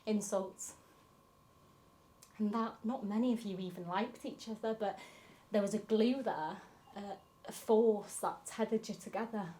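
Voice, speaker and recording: A woman's voice: mid-range voice, measured pace, warm tone, lightly acted. A woman playing a grieving granddaughter, reflecting confessionally on her fractured family in a film monologue. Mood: wry, reflective. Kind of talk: dramatic monologue in a short film.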